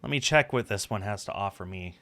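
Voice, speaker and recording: A man's voice: lowish voice, animated, a little fast, pushed and bright. A man in his thirties, a game streamer, reading a visual novel aloud in a dramatic narrating style. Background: game music with guitar.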